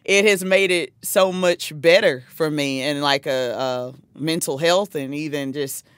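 A woman's voice: sits low, unhurried, clean tone, dry recording. A woman from South Carolina with a Southern accent, hosting a podcast interview in casual, enthusiastic chat, laughing often and restarting her sentences. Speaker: podcast host. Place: small dark studio with couches. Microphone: studio mic on stand.

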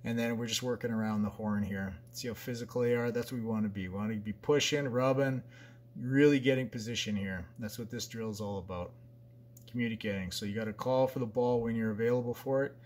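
A man's voice: medium pitch, fairly even intonation, fairly quick, darkish tone, slight background noise. Man talking through a basketball drill. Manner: plain, conversational, with many fillers and restarts. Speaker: basketball coach. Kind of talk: explaining a drill over a whiteboard diagram.

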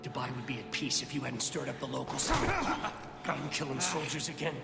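A defiant American army lieutenant in a war game, gravelly and sardonic. He is distrustful, and his voice echoes under loud music. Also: mid-range voice, big pitch swings, moderate pace. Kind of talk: military drama game dialogue. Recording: echoing hall, loud music behind.

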